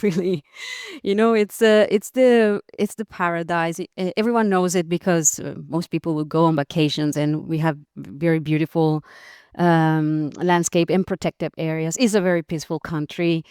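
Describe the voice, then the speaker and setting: A woman's voice: lowish voice, moderate pace, darkish tone, clean tone, no echo, clean studio sound. A woman from Costa Rica, a podcast guest, telling her career story with frequent fillers, restarts and light laughs. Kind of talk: interview conversation.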